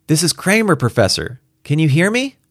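An urgent younger man aboard a spaceship as a male narrator acts him, his voice pushed high and strained. He is emphatic and insistent, pleading in short phrases, in a dry, close science fiction reading. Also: very animated, normal speed, no echo.

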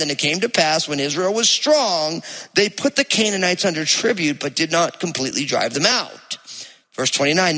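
A man with a New Jersey accent preaching a sermon to a congregation, speaking conversationally and with emphasis, slowing down to read scripture aloud. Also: a little high, pushed and bright. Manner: conversational, emphatic, slows to read place names. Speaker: pastor. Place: church hall with live congregation. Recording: long reverb of a church hall.

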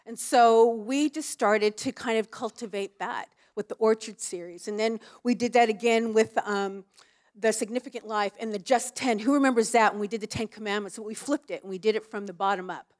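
A woman's voice: on the high side, brisk. A woman leading church ministry, talking in a casual, frank way with frequent fillers. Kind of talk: casual chat.

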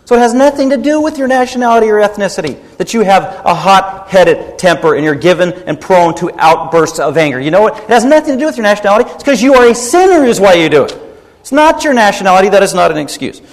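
A man's voice: sits high, expressive, quick pace, big-room sound. A man preaching a sermon as pastor, emphatic and rebuking, driving points home by repeating them.

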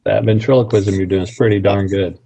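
A man's voice: lowish voice, fairly even intonation, a little fast, gravelly, warm tone, husky. A man from Missouri with a slight Missouri accent, chatting in a friendly, easygoing way as an actor being interviewed. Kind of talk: casual interview chat. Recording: dull lo-fi sound.

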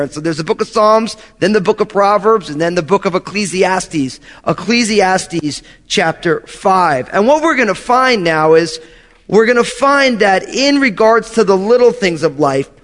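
A man's voice: sits high, fairly bright tone. Thirtysomething pastor guy preaching, earnest, repeats himself for emphasis, lots of hall echo. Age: 30s. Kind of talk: sermon.

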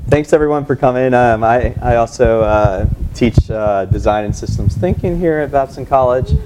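A man moderating and introducing a guest onstage before a live audience, talking in halting, restarting sentences and laughing easily. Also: mid-range voice, noisy background. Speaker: moderator and teacher.

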